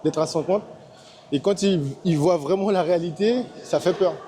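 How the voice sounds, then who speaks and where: A man's voice: high voice, moderate pace, a crowd in the background. A man in his thirties from French Guiana, a personal trainer chatting casually in a large gym, hesitant with many fillers. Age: 30s. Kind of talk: casual chat.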